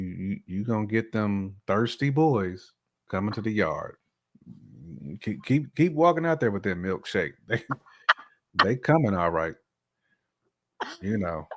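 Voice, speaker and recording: A man's voice: low voice, lively intonation, measured pace, vocal fry. An American man co-hosting a casual, opinionated chat, speaking bluntly in slang and repeating phrases for emphasis. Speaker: podcast co-host.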